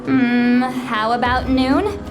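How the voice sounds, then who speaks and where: High-voiced haughty anime shaman. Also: takes it slowly, music in the background. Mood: evasive. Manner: haughty, dismissive. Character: haughty young-sounding centuries-old shaman woman, prickly, self-important. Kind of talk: anime game voice acting.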